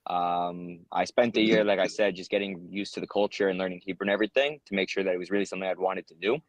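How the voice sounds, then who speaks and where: A man's voice: low-pitched, fairly even intonation, fast, dry recording, low-quality recording. A young American man answering interview questions in an earnest, rambling way, with many ums and uhs. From United States. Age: young adult. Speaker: interviewee, soldier.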